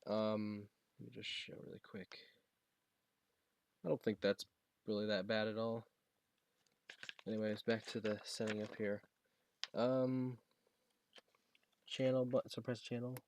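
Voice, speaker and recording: A man talking casually and haltingly, thinking aloud as he demonstrates a gadget he is setting up. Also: lowish voice, little pitch movement, darkish tone, slight background noise. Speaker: product reviewer demonstrating a gadget. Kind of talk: casual unscripted unboxing and setup demo.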